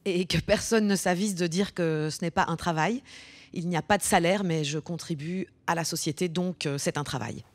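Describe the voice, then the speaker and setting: An actress playing an earnest, indignant single mother in a radio drama, speaking haltingly but insistently. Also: on the low side, a little hiss or hum, close to an everyday voice. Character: earnest, indignant single mother defending her unpaid work. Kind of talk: radio drama, naturalistic speech.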